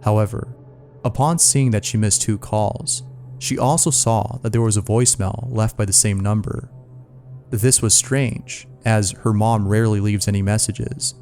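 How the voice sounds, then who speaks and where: A man's voice: fairly low pitch, fairly even intonation, unhurried, creaky, a little mellow. A man narrating scary mystery stories from a script, as the narrator of a video. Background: music.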